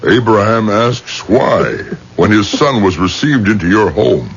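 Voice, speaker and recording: Slow, husky, stern rabbi. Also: male voice, fairly low pitch, animated. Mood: grave, judging. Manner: solemn, incantatory, declamatory. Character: stern, commanding male rabbi judge and exorcist. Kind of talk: 1970s radio drama acting. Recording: muffled old radio recording.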